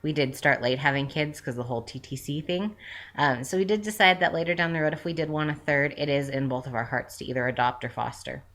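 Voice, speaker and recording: Low-voiced chatty woman in her twenties. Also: fast talker, a bit bright. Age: 20s. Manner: rambling, with frequent "um" fillers and self-corrections. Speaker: vlogger. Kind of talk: casual chatty update.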